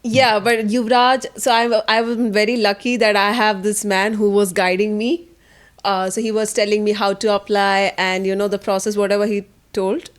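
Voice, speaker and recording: A young woman talks in a medium-pitched voice. She co-hosts a casual podcast, sounding candid and informal, with a teasing streak. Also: little pitch movement, normal speed. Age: young adult. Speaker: podcast co-host.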